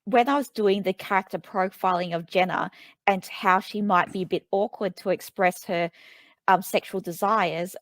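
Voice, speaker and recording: A woman's voice: mid-range voice, steady tone, moderate pace, fairly bright tone, dry recording. A woman author being interviewed, speaking thoughtfully and hesitantly with frequent ums and restarts. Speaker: author guest. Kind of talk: conversational interview.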